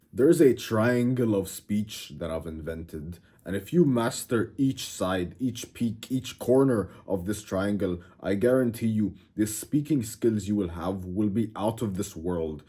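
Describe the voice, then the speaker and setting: A man from Egypt whose first language is Arabic talks casually to his viewers, brash and emphatic. His voice sits low and comes out mellow with a husky edge. He leans hard on his points. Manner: emphatic, brash, keeps saying "bro". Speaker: self-improvement YouTuber. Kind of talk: casual direct-to-camera talk.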